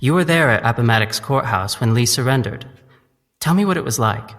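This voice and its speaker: An actor playing an earnest young male medical resident, measured and politely formal. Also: medium pitch, expressive, a bit bright, big-room sound. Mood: coaxing. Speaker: resident doctor.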